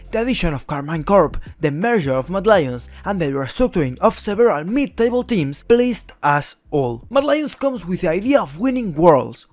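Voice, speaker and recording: A man's voice: high voice, dry recording. A young man from Spain with a Spanish accent, giving blunt, opinionated scripted commentary on esports teams as a YouTuber. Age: young adult.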